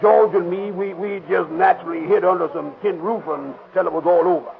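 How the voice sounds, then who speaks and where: A man's voice: sits high, fairly even intonation, a little fast, vocal fry. An actor playing a nervous male witness who stammers and hesitates in folksy, ungrammatical speech, in 1930s radio drama style, on a tinny old broadcast recording. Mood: flustered.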